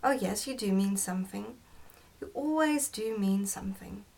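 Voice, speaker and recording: A woman voicing a sharp-tongued goblin mother in a storybook, nagging and insistent. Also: mid-range voice, expressive, moderate pace, gravelly.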